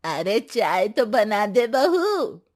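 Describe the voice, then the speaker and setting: A woman's voice: mid-range voice, very animated, very slow, a little mellow, no echo, studio quality, full character voice. A woman voicing a demanding middle-aged mother-in-law in a cartoon story, commanding and complaining. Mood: bossy. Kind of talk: animated Hindi story voice acting.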